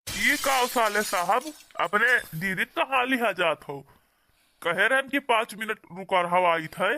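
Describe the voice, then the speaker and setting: A man's voice: high voice, bright, forceful tone, slight background noise, full character voice. A man with an Awadhi accent playing an aggrieved village husband in a comedy cartoon, earnest, complaining and pleading. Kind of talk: amateur comedy cartoon dialogue.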